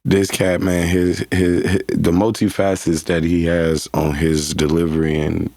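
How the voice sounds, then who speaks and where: Deep-voiced LA man, flat delivery, rambling slangy storyteller, dry close mic. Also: a little slow, vocal fry, dry recording. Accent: Los Angeles. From Los Angeles. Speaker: guest artist. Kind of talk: casual interview.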